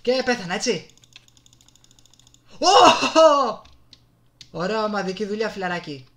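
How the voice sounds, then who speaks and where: A man with a Greek accent doing casual live gameplay commentary, excitable, exclaiming and muttering to himself into a desktop gaming microphone. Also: high voice. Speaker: gaming YouTuber.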